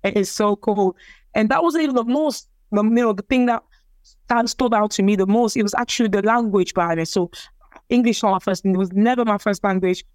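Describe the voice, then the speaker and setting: A woman's voice: mid-range voice, fairly quick, darkish tone, no room sound. A young woman from Cameroon whose first language is French, telling her story in a casual interview, hesitant and repeating words with many fillers. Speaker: interviewee, athlete.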